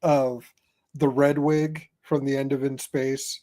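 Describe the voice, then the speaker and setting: A man hosting a casual fan podcast, speaking informally and a little haltingly. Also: medium pitch, level tone, dark tone. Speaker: podcast host.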